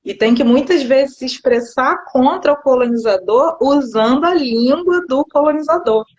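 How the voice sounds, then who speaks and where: Woman teacher on a video call, kinda high voice, thinking out loud and explaining stuff, muffled lo-fi sound. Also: normal speed. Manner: reflective, explaining at length. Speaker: schoolteacher. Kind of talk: casual conversation on a live stream. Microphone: phone or laptop on a live video call. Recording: dull lo-fi call audio.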